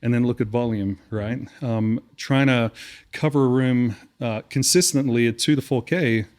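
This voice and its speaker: A man's voice: medium pitch, normal speed, darkish tone. Man explaining things in casual podcast talk. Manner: explanatory, conversational, peppers points with "right?". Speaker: podcast co-host, audio system designer.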